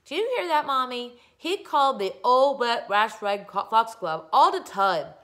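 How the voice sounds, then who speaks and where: A woman's voice: fairly low pitch, wildly swooping pitch, creaky, heavily acted. A woman voicing a whiny, aggrieved eight-year-old girl in a storybook, bawling and drawing out her complaints. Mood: aggrieved whining. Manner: bawling, shrieking, drawn-out whining.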